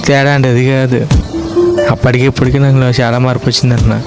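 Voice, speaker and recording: A man's voice: mid-range voice, flat delivery, very fast, gravelly, lightly acted. A young man acting as an awkward, lovestruck guy chatting on the phone in an amateur short film. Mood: awkward, eager. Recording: loud music over the dialogue.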